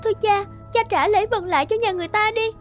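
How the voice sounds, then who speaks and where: A woman voicing a gentle, devoted young noblewoman in a folk-tale cartoon, emotional and soft-hearted. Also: very high voice, fast, faint music behind. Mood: upset, defiant. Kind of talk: animated folk-tale dialogue.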